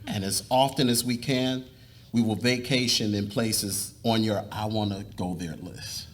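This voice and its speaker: A man playing an earnest, devout young suitor in a live dramatic reading, speaking in a measured, persuasive way. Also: fairly low pitch, steady tone, close to an everyday voice. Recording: noisy live room recording.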